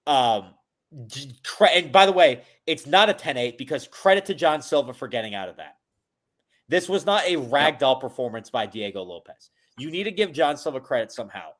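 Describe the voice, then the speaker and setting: A man's voice: mid-range voice, lively intonation, moderate pace, a bit bright. Rambling male podcast host. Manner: rambling, many restarts and fillers. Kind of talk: casual podcast chat.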